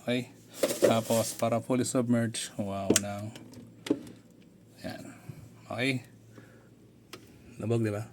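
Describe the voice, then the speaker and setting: A man's voice: medium pitch, fairly even intonation, takes it slowly, long pauses. Casual man reviewing gear over background noise. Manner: conversational, narrates actions step by step. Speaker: motorcycle gear reviewer and content creator. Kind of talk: casual product review and demonstration. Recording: clear background noise, room ambience.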